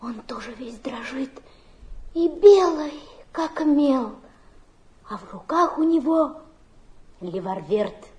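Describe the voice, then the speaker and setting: Stage role: a tearful young streetwalker lost in romance, very high voice, slow theatrical delivery. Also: female voice, animated, darkish tone. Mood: dreamy, rapturous. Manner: drawn-out, melodramatic, emotional delivery. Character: overwrought young fallen woman, dreamy and tearful, telling romantic fantasies. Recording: archive stage drama recording.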